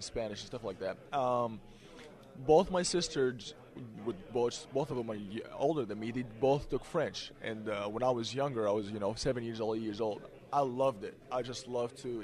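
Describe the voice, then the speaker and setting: A young man from Egypt whose first language is Arabic, speaking English softly as a guest in an interview and laughing often. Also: medium pitch. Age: young adult. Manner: soft-spoken, laughs often, relaxed. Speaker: college basketball player, guest.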